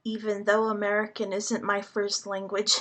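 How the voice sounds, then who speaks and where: A woman whose first language is Hungarian, speaking to the public in a slow, earnest monologue, halting and deliberate with many pauses. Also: mid-range voice, monotone, fairly bright tone, poor audio. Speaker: vlogger addressing the public.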